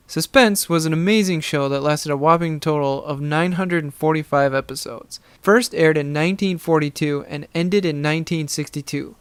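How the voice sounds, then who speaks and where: A man's voice: a little high, clear, resonant tone, dry recording. A man hosting a podcast with a casual scripted introduction. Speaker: podcast host.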